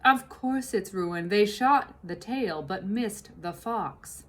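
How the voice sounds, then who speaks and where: A woman's voice: mid-range voice, slow, close to an everyday voice. A woman voicing an impatient, commanding mistress of a house who gives curt orders, in a storybook reading.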